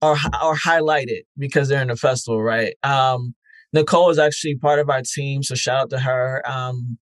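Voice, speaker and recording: Reflective man, measured podcast guest. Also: medium pitch, fairly even intonation, no echo. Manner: reflective, many fillers and restarts. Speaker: podcast festival founder, guest. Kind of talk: conversational interview answers.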